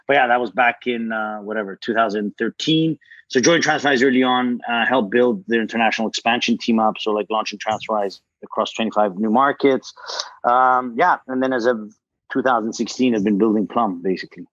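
A man's voice: medium pitch, brisk, fairly bright tone, no room sound. A man in his thirties speaks casually, stumbling over repeated words and dropping in "like". His voice is dry and a little dull, with the narrow sound of earbuds on a call. He seems to be at home. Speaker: startup founder. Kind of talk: video call interview. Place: home kitchen indoors. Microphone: earbuds on a video call.

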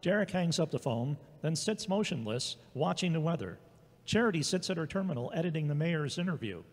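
High-pitched male narrator, echoey. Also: very animated, a little fast. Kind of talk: scripted present-tense drama narration. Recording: echoey hall-like reverb.

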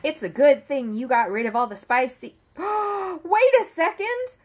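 A woman in her thirties reading a children's picture book aloud at home, expressively, with theatrical emphasis and deliberate phrasing. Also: high-pitched, lively intonation. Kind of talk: animated read-aloud to children. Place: indoor room at home, seated at a table.

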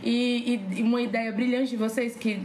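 A woman's voice: a little high, flat delivery, moderate pace, darkish tone. Enthusiastic Cearense woman in a roomy echo. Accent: Cearense Brazilian. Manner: gushing, enthusiastic. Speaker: podcast guest, slam poet. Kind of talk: casual chat. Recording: roomy echo, faint background noise.

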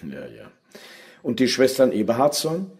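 An actor playing a skeptical, terse police detective in a radio crime drama, probing with short, hesitant questions. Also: male voice, mid-range voice, huge pitch swings, normal speed, a little mellow.

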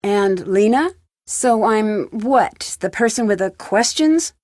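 A woman's voice: mid-range voice, animated, takes it slowly, darkish tone, no room sound, studio quality. A woman playing an inquisitive, lightly wry young female detective in video game dialogue. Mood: curious. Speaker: video game lead character. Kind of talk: video game dialogue, separate questions and replies.

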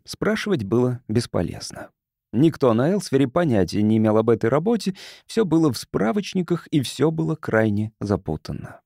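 Mellow male audiobook narrator, dry sound. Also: medium pitch, big pitch swings, moderate pace, gravelly, dry recording. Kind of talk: scripted narration of a science fiction story.

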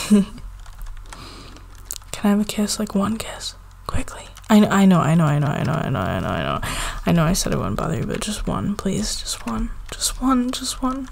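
A woman playing a needy, affectionate young girlfriend in an intimate ASMR roleplay, with a soft, halting, pleading delivery. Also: mid-range voice, vocal fry, exaggerated voice. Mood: affectionate, cuddly.

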